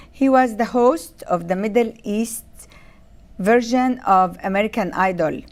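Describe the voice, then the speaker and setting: A woman's voice: medium pitch, darkish tone. A woman from Jordan hosting a TV interview, who often slips in brief affirmations while her guest talks. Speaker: TV host.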